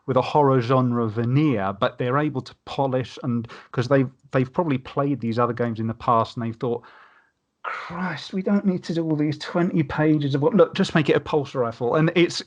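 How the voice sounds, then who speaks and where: A man's voice: on the high side, normal speed, highs cut off. A man talking casually and at some length as a panelist in a discussion about tabletop games. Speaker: podcast panelist.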